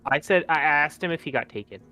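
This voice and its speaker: A man's voice: a little high, expressive, fast talker, sharp tone. A man playing a tabletop roleplaying game, talking casually about the game.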